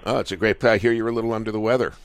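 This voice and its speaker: A man's voice: fairly low pitch, steady tone, racing, clean tone, no echo. A man hosting a sports radio talk show, asking rambling questions in a conversational, jokey way. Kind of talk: casual sports radio interview.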